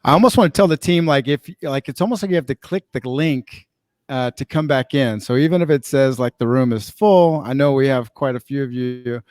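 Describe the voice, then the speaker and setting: The upbeat guy running an online industry meetup, his voice crisp and close with no room around it, keeping things casual. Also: medium pitch, brisk, darkish tone, no echo. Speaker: master of ceremonies of an online podcast summit. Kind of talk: casual live event hosting.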